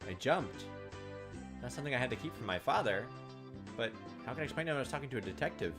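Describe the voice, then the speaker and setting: A man's voice: mid-range voice, lively intonation, fast talker, over music. A man hosting a gaming video, reading visual novel narration aloud dramatically with casual asides.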